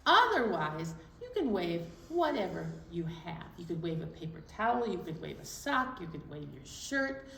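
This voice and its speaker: A woman's voice: lowish voice, sing-song, normal speed, creaky, few pauses, bright, forceful tone. A woman hosting a children's storytime, giving chatty instructions in a playful way with emphatic repetition. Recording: echoey room with some background noise.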